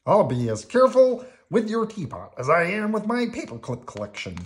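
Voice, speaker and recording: An earnest puppet storekeeper, pitched well above an everyday male voice. He is eager and fretful, with loud, swooping delivery in a children's storybook style. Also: wildly swooping pitch, normal speed.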